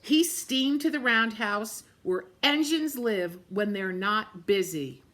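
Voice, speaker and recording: Slow, gentle woman reading a picture book. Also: mid-range voice. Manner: pausing to point out pictures, gentle asides. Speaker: storyteller.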